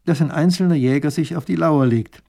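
A man's voice: a little fast, gravelly, mellow. A man voicing a courtly male baron who speaks deferentially to his ruler. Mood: earnest. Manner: deferential, keeps saying Highness.

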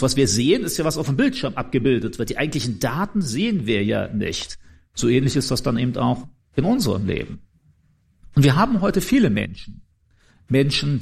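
A man's voice: medium pitch, gravelly, husky. A man preaching in an informal, conversational and explanatory way, addressing his listeners directly. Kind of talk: informal sermon.

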